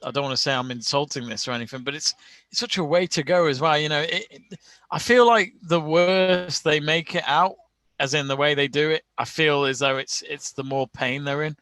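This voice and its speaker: A man chats loosely through a live football watch-along, tripping over restarts and breaking into laughs. His voice sits a touch high and sounds bright and forceful. The recording is dry and close. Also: brisk, no echo. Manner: chatty, many restarts and laughs. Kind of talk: casual live-stream chat.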